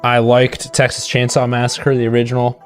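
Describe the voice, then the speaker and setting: A man's voice: medium pitch, monotone, fairly quick, soft background music. A man co-hosting a livestream in casual conversation. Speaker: livestream co-host. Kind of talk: casual chat.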